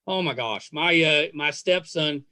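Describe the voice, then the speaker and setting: A middle-aged man hosting a podcast, chatting casually in a halting, gushing and effusive way. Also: a little high, bright, forceful tone, no room sound. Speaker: podcast host. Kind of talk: casual interview chat and sign-off.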